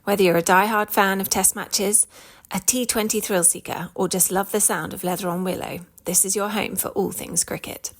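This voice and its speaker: A woman's voice: medium pitch, fairly even intonation, normal speed. A woman reading a scripted, upbeat introduction to a podcast. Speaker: show announcer.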